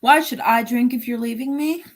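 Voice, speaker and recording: A woman's voice: a little high, normal speed, natural voice. A woman playing a haughty, possessive nightclub singer with imperious, melodramatic delivery in a radio drama. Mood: possessive, defiant. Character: Aisha, haughty, possessive female singer of the Casbah. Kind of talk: 1940s radio drama acting.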